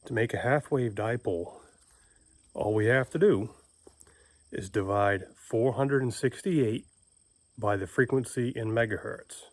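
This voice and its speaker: A man's voice: medium pitch, measured pace, pauses often, no room sound. A man with a folksy, plain-spoken manner, giving a casual, informal how-to explanation as a video host. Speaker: homestead video host and ham radio operator.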